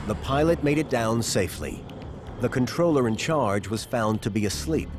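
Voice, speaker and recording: Man narrating with lively, dramatic pitch swings. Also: moderate pace, noisy background. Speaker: documentary narrator. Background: background music.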